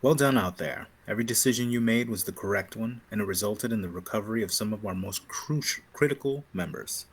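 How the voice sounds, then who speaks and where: Guy doing a rebel superior officer, low husky voice, formal and approving, sci-fi table read. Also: brisk, hardly any pauses. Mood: composed, approving.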